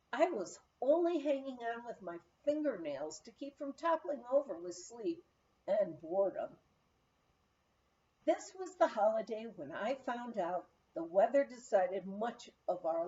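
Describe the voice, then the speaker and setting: A woman reading a humorous memoir aloud in an expressive, anecdotal storytelling manner. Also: a little high, muffled recording. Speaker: volunteer audiobook reader. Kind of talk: scripted narration of a humorous memoir.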